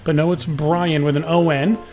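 A man with a booming, somewhat high voice hosts a podcast, recapping his day in a relaxed, chatty way. Faint music plays underneath. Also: normal speed. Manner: chatty, easygoing storytelling. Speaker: podcast host.

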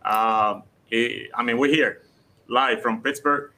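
A middle-aged man from Rico, talking as a guest in a live interview at a convention center. He speaks earnestly, repeating words and filling pauses with "uh". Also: mid-range voice, a crowd in the background. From Puerto Rico. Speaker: guest, city code department director.

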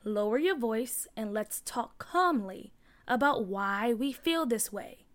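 Woman as mother, high voice, firm, measured, nurturing, reassuring, storybook character. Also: lively intonation. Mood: stern, calming.

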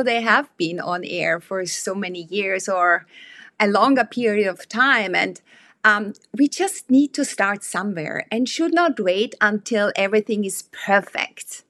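A woman whose first language is not English, hosting an interview and speaking thoughtfully, sometimes pausing to put her sentences together. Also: medium pitch, fairly bright tone, dry recording. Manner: thoughtful, pauses to build sentences. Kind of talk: interview conversation.

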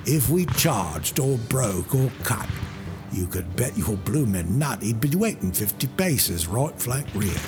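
A man voicing a rough old British soldier, reciting a war poem in heavy with bellowed calls. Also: mid-range voice, very animated, takes it slowly. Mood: gruff recollection. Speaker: audiobook narrator. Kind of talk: dramatic poetry reading. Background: music.

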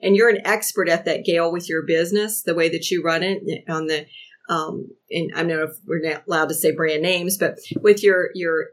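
A woman's voice: fairly low pitch, steady tone, moderate pace. Woman, 60s, guest on a chat show, earnest advice-giver who restarts her sentences. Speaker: podcast guest. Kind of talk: casual chat.

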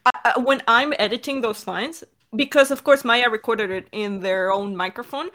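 A woman's voice: a little high, moderate pace, fairly bright tone. A woman speaking informally and animatedly as a podcast director in a casual Q&A, repeating words for emphasis. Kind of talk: casual creator Q&A chat.

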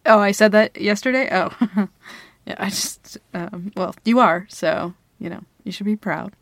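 A woman plays a flustered young woman with a crush on her best friend, in an intimate ASMR audio roleplay. She speaks slowly and hesitantly, stammering in a giggly way. Her voice is full of vocal fry and sounds very dry and close. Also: mid-range voice, no echo. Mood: shy, flustered. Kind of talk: ASMR girlfriend roleplay, intimate one-sided dialogue.

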